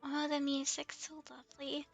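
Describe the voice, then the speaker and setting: A woman chatting casually on a game livestream, giggly and excitable, full of exclamations. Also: high-pitched, moderate pace, vocal fry, dull audio. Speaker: streamer, host of the show. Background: background music.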